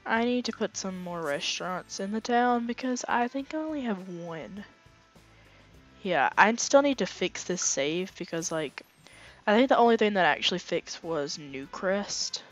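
A young woman with a raspy voice that is fading from illness, chatting casually through a let's play with lots of fillers and asides. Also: mid-range voice, expressive, normal speed, faint music behind. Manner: rambling, filler-heavy, chatty. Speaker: gaming channel host. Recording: slightly dull lo-fi home recording.

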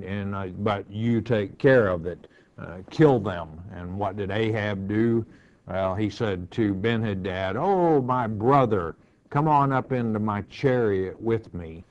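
An older man, a pastor, teaching through the Bible verse by verse, reading scripture aloud and then explaining it in plain words with many fillers. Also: lowish voice. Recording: dull lo-fi broadcast sound.

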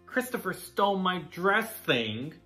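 An earnest, shy little storybook boy, played by a man. His soft-spoken delivery is slightly raised and swings around in pitch, with a bright edge. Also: very animated, a little slow, soft background music, full character voice. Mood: upset. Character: young boy Jacob, earnest, shy, proud of his dress.

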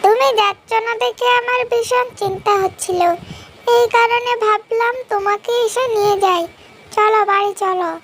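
A woman's voice: very high voice, fairly even intonation, moderate pace, a bit bright, slight background noise. A woman voicing a small, devoted pet fox in a fairy-tale cartoon, earnest and childlike. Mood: urging.

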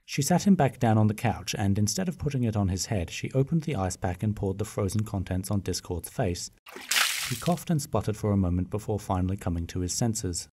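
A man's voice: fairly low pitch, animated, fairly quick, dark tone, a little hiss or hum. A man giving scripted narration as the storyteller of a fan-made radio play.

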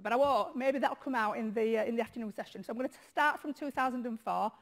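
A woman's voice: fairly high pitch, fast talker. A middle-aged woman from Leeds with a Leeds accent, a charity chief executive speaking frankly and with self-deprecating humor at a lectern in a large conference hall. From Leeds, England. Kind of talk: conference keynote talk.

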